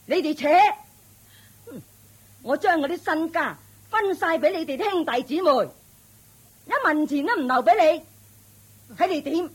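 A woman's voice: high voice, animated, unhurried, long pauses. A woman playing a haughty, domineering rich matriarch and mother-in-law in a radio drama, scolding and mocking. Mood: scornful. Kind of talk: Cantonese radio drama acting.

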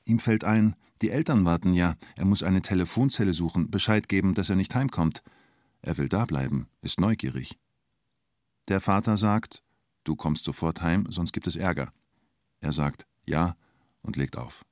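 An actor playing a wry, colloquial storyteller in a radio drama, speaking in clipped present-tense phrases. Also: male voice, low-pitched, normal speed, a little mellow, dry recording, clean studio sound, lightly acted. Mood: wry, observing. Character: male storyteller recalling the bank siege, wry and colloquial.

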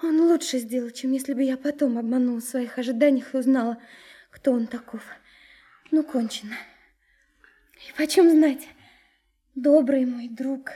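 An actress playing an impulsive, trusting young woman in love in a radio play, emotional and halting. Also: sits high, warm tone, breathy edge. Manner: breathless, emotional, halting, repeating words. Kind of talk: Russian radio play acting.

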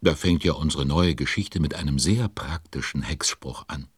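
Very deep, husky storyteller. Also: male voice, moderate pace, no echo, clean studio sound. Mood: jovial, chatty. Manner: chatty, addresses listeners directly. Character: jovial storyteller narrator addressing young fans. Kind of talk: children's audio drama narration.

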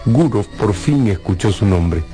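A man narrating a literary radio drama in a measured storytelling manner. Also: on the low side, expressive. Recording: loud music under the voice.

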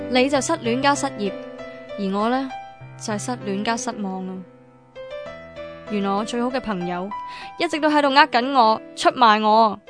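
A woman's voice: a little high, natural voice. An actress playing a heartbroken, defiant young woman in an emotional, emphatic radio drama style. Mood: bitter, hurt. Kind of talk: Cantonese radio drama narration and acting.

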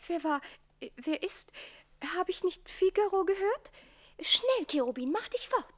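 A woman's voice: extremely high pitch, big pitch swings, measured pace, heavily acted. A woman playing an anxious noblewoman posing as a maid in a radio drama, protesting urgently in a fluttery manner. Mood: nervous, frightened.

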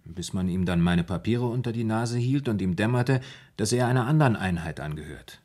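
A red-haired former soldier in a radio play, confides his troubled story with earnest deference. The voice is deep and husky, told like a personal account. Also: lively intonation, fairly quick, darkish tone. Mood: reflective, earnest.

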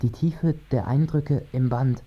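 A man's voice: medium pitch, a little slow, dull audio, lightly acted. An actor playing a man who muses like an astronaut on the moon, in a literary, declamatory radio monologue. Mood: incantatory, solemn. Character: male narrator musing like an astronaut on the moon.

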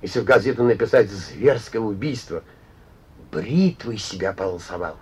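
An actor playing a rambling, sighing, blunt and mocking injured inventor in a Soviet radio play. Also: male voice, lowish voice, animated, normal speed, husky. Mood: mocking, earnest. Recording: muffled old radio recording.

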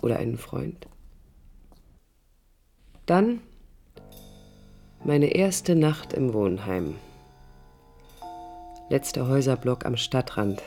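A woman's voice: rumbling low, animated, slow pace, gravelly, pauses often, mellow, full character voice. An idealistic young woman, reflective, over music. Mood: reflective, wry. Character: young idealistic woman architect recalling her life, passionate, critical. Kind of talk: 1980s radio play inner-monologue narration.

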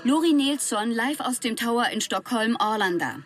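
A woman's voice: a little high, animated, normal speed, music in the background, lightly acted. An actress playing a woman radio reporter at an airport in a German radio drama. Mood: formal reporting.

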